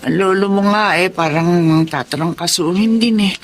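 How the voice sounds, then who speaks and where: An actress playing a kindly old Filipino grandmother in a radio drama, expressive, soothing and encouraging. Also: lowish voice, normal speed, natural voice. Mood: tender, encouraging.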